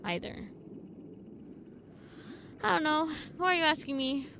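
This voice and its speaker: A woman's voice: sits high, measured pace, gravelly, bright, forceful tone, slight background noise. A woman giving casual, chatty live commentary as she streams a game and talks to her viewers. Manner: chatty, reacts to game and chat.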